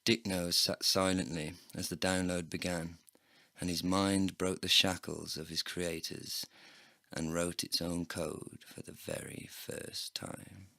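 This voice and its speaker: A man's voice: deep voice, flat delivery, slow, gravelly, bright, forceful tone, dry recording. A man narrating a first-person comedy audio drama from a script, world-weary, sardonic and sweary. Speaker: narrator and performer.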